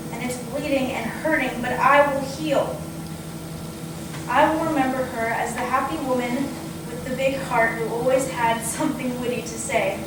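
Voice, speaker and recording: A young woman reading a prepared, heartfelt eulogy to a gathering, earnest with touches of dry humour. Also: a little high. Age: young adult. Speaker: niece giving a memorial tribute. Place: indoor memorial gathering at an acting studio. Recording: very echoey, distant, noisy room recording.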